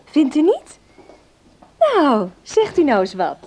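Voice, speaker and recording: An actress playing a kindly, reassuring hospital nurse in a radio play, coaxing and chatty in manner. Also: female voice, sits high, huge pitch swings, slow, darkish tone, heavily acted. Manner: coaxing, solicitous.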